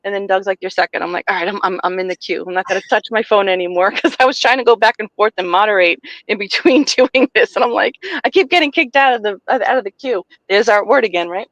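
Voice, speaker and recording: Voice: medium pitch, fast, few pauses, fairly bright tone, no room sound, poor audio. A chatty caller in a casual call-in chat, often laughing and self-mocking.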